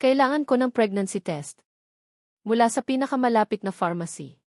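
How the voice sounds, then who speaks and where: A woman narrator plays a meek young woman living in fear in a romantic audio drama. She is polite, anxious and hushed. The recording is dry. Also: fairly high pitch, animated, moderate pace, dry recording, close to an everyday voice. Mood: secretive pleading. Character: frightened, meek young woman in a rich household.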